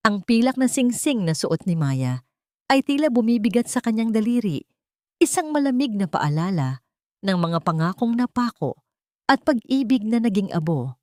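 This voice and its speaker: A woman's voice: a little high, sing-song, normal speed, a little mellow, dry recording, clean studio sound. A woman reading a dramatic scripted story as narrator.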